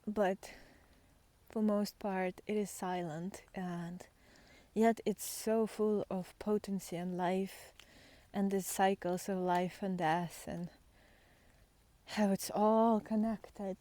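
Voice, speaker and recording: Slow-paced, mellow woman musing outdoors. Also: medium pitch, dry recording. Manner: musing, halting, trailing into breathy near-whispers. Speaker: spiritual vlogger and guide. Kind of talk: unscripted reflective talk while walking in nature. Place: outdoors on a walk in nature.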